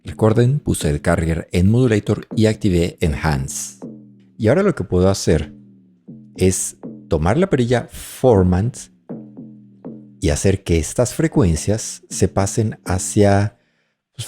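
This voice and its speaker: Low-voiced man giving an audio tutorial. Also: very animated, a little slow, warm tone, faint music behind. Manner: explanatory, thinking aloud while demonstrating. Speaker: audio mixing tutorial instructor. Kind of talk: informal spoken software tutorial. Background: drum and bass tracks playing during demonstration.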